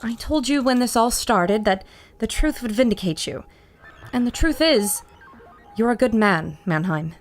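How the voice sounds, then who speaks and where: A woman's voice: fairly high pitch, lively intonation, a little slow, faint music behind. A woman playing a plucky, caring reporter in an old-time radio adventure serial, speaking earnestly. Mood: reassuring.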